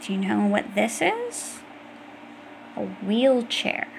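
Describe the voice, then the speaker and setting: A woman's voice: mid-range voice, sing-song, slow pace, vocal fry, slight background noise. A woman reading an educational children's book aloud, explaining things directly to young listeners. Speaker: audiobook reader.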